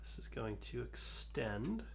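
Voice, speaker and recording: A man hosting a live coding stream, talking calmly through his code with a soothing voice and many fillers. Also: medium pitch, gravelly, slight background noise. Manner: slow, calm, talks through code with fillers. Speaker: livestream host.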